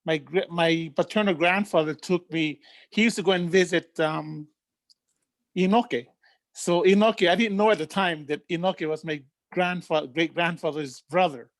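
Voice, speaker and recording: A woman's voice: lowish voice, normal speed, dry recording. A woman, speaking with a camera that is off on a family video call and recalling things in a reflective way, with stammered restarts. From Tonga. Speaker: relative sharing a memory.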